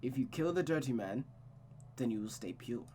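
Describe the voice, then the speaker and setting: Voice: mid-range voice, animated, normal speed, mellow, lightly acted. A performer voicing a cold, manipulative mother who coaxes and dominates her child with silky endearments in a visual novel. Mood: coldly persuasive.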